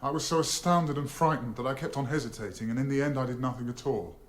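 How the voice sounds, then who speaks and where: A man's voice: medium pitch, fast, close to an everyday voice. A frightened defendant in a radio courtroom play, acted by a man. His mid-range voice is subdued and hesitant. He answers formally, like someone dazed by his situation. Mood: anxious, subdued. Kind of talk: radio courtroom drama acting.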